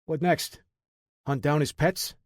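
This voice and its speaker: Guy reading a sardonic banged-up pilot, slightly higher voice, dry close sound. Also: fairly even intonation, takes it slowly, no room sound.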